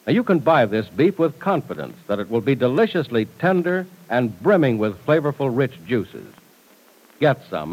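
A man's voice: medium pitch, darkish tone, rough recording. An American man announcing in 1940s radio style, with a polished, emphatic scripted delivery.

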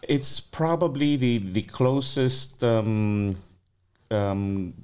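A man's voice: mid-range voice, drawn-out words, darkish tone, smooth. A middle-aged man from the Philippines, a restaurant owner being interviewed, talking casually with many fillers and restarts.

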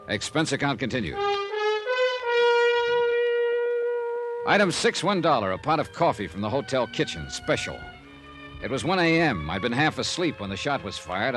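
An actor playing a brisk, wry freelance insurance investigator who narrates and talks in a dry, clipped way, in a 1950s radio drama. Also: male voice, mid-range voice, lightly acted. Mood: narrating. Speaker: narrator and lead.

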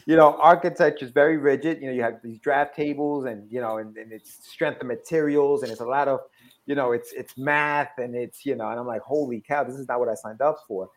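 A man's voice: mid-range voice, steady tone, a little fast. A man in his forties from Ecuador who moved to the States as a child, talking casually and chattily as a podcast guest and repeating phrases. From Ecuador, moved to the States at seven. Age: 40s. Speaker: podcast guest, comic book creator. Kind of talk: casual conversational storytelling.

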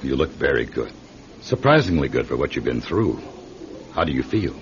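A man's voice: rumbling low, very animated, moderate pace. An actor playing a fatherly older doctor in a radio drama, soothing, firm and reassuring. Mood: calm, kindly. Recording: muffled radio recording with faint hiss.

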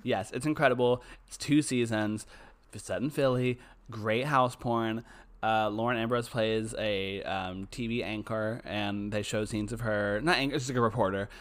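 Low-voiced campy man chatting. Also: fairly even intonation, smooth. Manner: campy, enthusiastic, quick asides. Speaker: podcast co-host. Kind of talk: casual chat.

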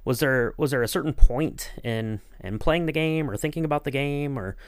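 A man's voice: mid-range voice, moderate pace, clear, resonant tone, no echo, clean studio sound. A man co-hosting a podcast, interviewing in a casual, agreeable, rambling way. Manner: rambling, agreeable, hedging with "kind of". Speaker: podcast co-host acting as interviewer.

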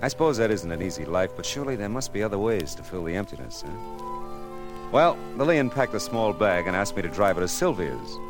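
An actor playing a wry, cool private detective in a hard-boiled, dry, laconic style from 1940s radio drama. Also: male voice, lowish voice, big pitch swings, brisk, music in the background. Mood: wry, reflective. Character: wry, cool male private detective narrating his case.

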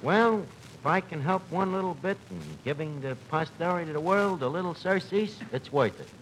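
Old-time radio comedy: male actor as folksy, gullible tavern pal, high-pitched and lively, noisy vintage recording. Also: fairly quick, lightly acted. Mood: eager. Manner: folksy, mangled colloquial speech.

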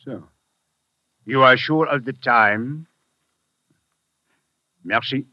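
A man's voice: mid-range voice, extremely slow, slight background noise. A man playing a shrewd French police inspector with a French accent, asking formal, probing questions in a vintage radio drama. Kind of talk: 1940s radio acting. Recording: dull vintage radio recording.

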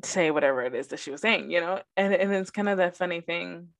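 A young woman chats casually as a podcast co-host, her first language Spanish, her voice sitting a little low. She tells stories with energy and drifts into laughter easily. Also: fast, vocal fry. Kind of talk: casual chat.

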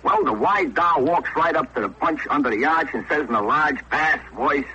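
Male American narrator, slangy comic radio yarn, rasping and fairly high, lo-fi vintage recording. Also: moderate pace, bright, forceful tone. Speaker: storyteller. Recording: dull lo-fi old radio recording.

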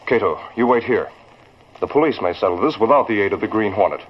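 A man's voice: fairly low pitch, animated, fast, close to an everyday voice. An actor playing an earnest, authoritative newspaperman hero in a 1940s radio drama, speaking in a decisive, well-spoken way. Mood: earnest, concerned. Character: earnest, authoritative male newspaperman, secret masked crimefighter. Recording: noisy, muffled old radio recording.

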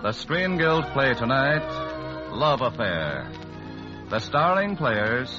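Male vintage radio narrator, animated mid-range voice, theatrical storytelling, muffled broadcast, loud music behind. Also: medium pitch, slow. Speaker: announcer and narrator. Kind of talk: 1940s radio scripted narration.

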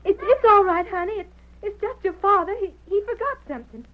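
A woman's voice: extremely high pitch, a little fast. A woman playing a worried, hesitant American wife and mother in an old radio Western. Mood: frightened. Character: anxious young frontier wife and mother. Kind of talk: 1940s Western radio drama acting. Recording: tinny, very noisy old radio recording.

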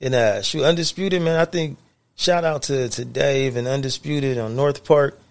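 A man's voice: medium pitch, normal speed, vocal fry. A young adult man co-hosting a casual podcast, laid-back and laughing often as he reminisces. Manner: laid-back, laughs often, says "you know what I mean". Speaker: podcast co-host.

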